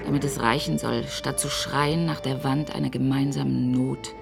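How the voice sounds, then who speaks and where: A performer voicing a distant inner voice in a radio play, reciting slowly. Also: mid-range voice, fairly even intonation, creaky. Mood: pensive. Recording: distant voice over music.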